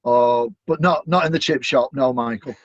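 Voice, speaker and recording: A man's voice: medium pitch, normal speed, no room sound. Middle-aged Mancunian man, upbeat chatty guest, dry dull lo-fi sound. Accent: Manchester. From Manchester, England. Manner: chatty, upbeat, many "you know" fillers. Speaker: guest, stadium announcer. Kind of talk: casual interview chat.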